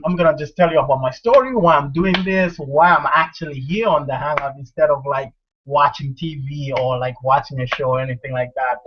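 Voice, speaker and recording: A man with a Zambian accent hosts a group video call, his voice sitting fairly high for a man. He talks in an upbeat, motivational way and often repeats himself. The sound is dull and lo-fi, as from a computer mic. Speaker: host. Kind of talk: casual online group call.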